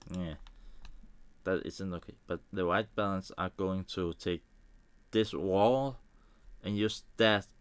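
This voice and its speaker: A man from Denmark with a Danish accent and Danish as his first language, thinking aloud in halting English with small grammar slips as he walks through editing a photo on a live stream. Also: deep voice, clear, resonant tone, dry recording. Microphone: desk microphone.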